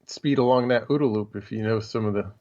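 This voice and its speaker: A man's voice: fairly low pitch, fast, dark tone. A man co-hosting a casual podcast chat, agreeable and given to brief interjections. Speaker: podcast co-host. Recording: dull lo-fi microphone.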